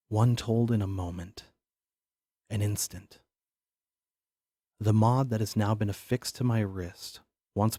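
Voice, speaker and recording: A man playing a defiant, doomed man delivering a solemn, deliberate final testament in an audio drama. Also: lowish voice, a little mellow. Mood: grave.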